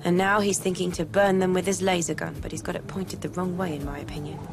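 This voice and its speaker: A woman's voice: fairly low pitch, flat delivery, fast talker, natural voice. Troubled, curious young woman, acted, over music. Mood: troubled, frank. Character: troubled, curious young woman student who hears voices. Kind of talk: radio drama acting. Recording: music behind the voice.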